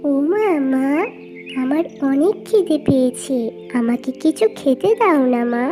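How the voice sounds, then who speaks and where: A woman's voice: high voice, lively intonation, unhurried, mellow, faint music behind. A woman voicing a hungry, childlike little village girl in a cartoon, pleading with her mother. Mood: hungry, whining.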